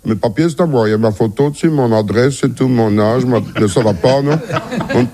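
A man's voice: medium pitch, a little fast, a crowd in the background. A man telling a joke casually on the radio.

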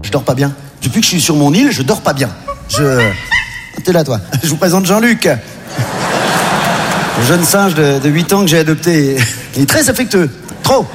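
A man doing absurd stand-up before a live audience, talking in halting bursts full of fillers. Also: on the high side, lively intonation, loud music behind the voice. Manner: hesitant, halting with many fillers. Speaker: stand-up comedian. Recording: live hall recording aired on radio.

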